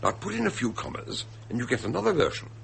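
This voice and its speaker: A man acting in a radio drama as an eccentric, shrewd detective, expounding a murder with deliberate, theatrical emphasis. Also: mid-range voice, very animated, creaky, fairly bright tone, a little hiss or hum, full character voice. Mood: explanatory.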